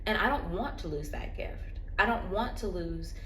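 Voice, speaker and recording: A woman records a heartfelt, reflective vlog on her phone at home. Her voice is bright and clear. The room is roomy and echoey, with a faint hum in the background. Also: on the low side, expressive, moderate pace. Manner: earnest and reflective. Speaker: vlogger. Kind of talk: candid personal vlog talk.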